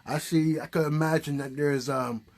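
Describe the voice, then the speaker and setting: A man's voice: on the high side, fairly even intonation, normal speed, a little mellow. A man hosting a puppet show, chatting casually and encouragingly and prompting with questions. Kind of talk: casual, unscripted chat.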